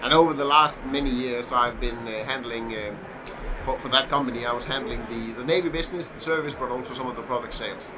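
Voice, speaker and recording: A middle-aged man from Denmark with a Danish accent, a podcast guest who talks in a halting way with many fillers and restarts. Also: medium pitch. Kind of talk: casual podcast interview. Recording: noisy background hum.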